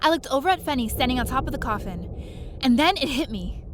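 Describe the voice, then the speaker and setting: Woman acting plucky female space adventurer, high lively voice, expressive first-person audio drama storytelling, faint noise. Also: fast talker. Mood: tense narration.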